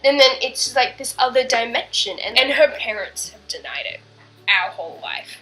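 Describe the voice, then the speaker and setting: Bright-voiced young woman, candid and hushed. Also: on the high side, expressive, normal speed, faint music behind, poor audio. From born in Canada, raised in Bangladesh. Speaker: interviewee. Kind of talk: personal documentary interview.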